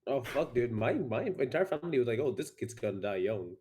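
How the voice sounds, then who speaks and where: A man's voice: mid-range voice, lively intonation, brisk, darkish tone. A man in his twenties co-hosting a stream, teasing and swearing in casual banter. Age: 20s. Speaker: stream co-host.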